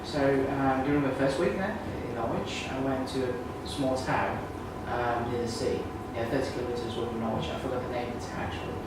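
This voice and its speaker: A man's voice: mid-range voice, fairly even intonation, a little fast, few pauses. A man from Vietnam answering interview questions, hesitant and repeating words, with many ums. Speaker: job candidate. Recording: echoey room, far from mic, noisy.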